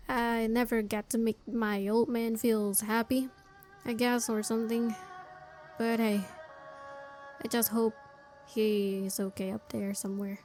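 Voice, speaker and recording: A woman's voice: on the high side, flat delivery, slow, long pauses, a little mellow, soft background music, poor audio. A woman gamer giving casual, sympathetic playthrough commentary, talking to the characters on screen. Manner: talks to the game characters, sympathetic. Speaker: gaming streamer.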